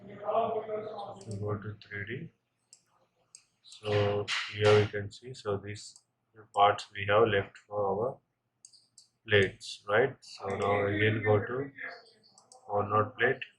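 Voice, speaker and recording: A man narrating a step-by-step software tutorial as an instructor, in halting short phrases with frequent check-in tags. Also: lowish voice, animated. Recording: clear background noise, keyboard clicks.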